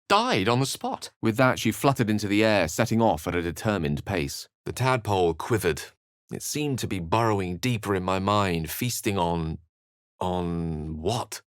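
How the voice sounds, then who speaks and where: An actor voicing an erudite, thoughtful, wry wizard adventurer in a video game, with a measured, theatrical way of speaking. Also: male voice, low-pitched, sing-song, a bit bright, smooth, no room sound, clean studio sound, exaggerated voice. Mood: grave, thoughtful. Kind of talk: video game voice acting, dialogue and narration.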